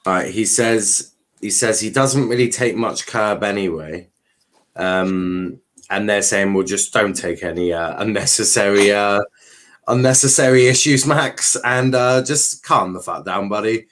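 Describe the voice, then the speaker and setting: A man's voice: on the low side, measured pace, a bit bright. A man hosting a livestream watchalong, giving casual race commentary with informal, sweary, joking asides.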